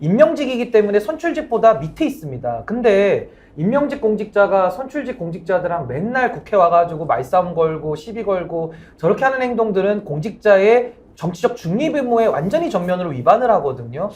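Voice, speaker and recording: A man, a lawyer on a political panel, arguing forcefully and critically. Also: high-pitched, very animated, fairly quick, hardly any pauses. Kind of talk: political debate commentary.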